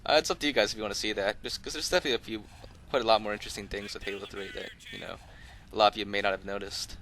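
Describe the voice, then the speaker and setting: A man with a fairly low but bright, forward voice hosts a gameplay tutorial. He rambles along conversationally, explaining things in an unscripted, easygoing way, with light noise behind him. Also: steady tone, rapid-fire, vocal fry. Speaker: host of a gaming video channel.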